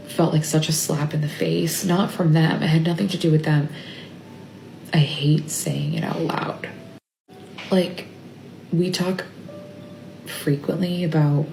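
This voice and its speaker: A mother speaking in a halting, tearful confessional, often trailing off and dropping to a whisper. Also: sits low, vocal fry, warm tone. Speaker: mother sharing a personal update. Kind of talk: emotional confessional monologue. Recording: echoey room with faint ambient noise.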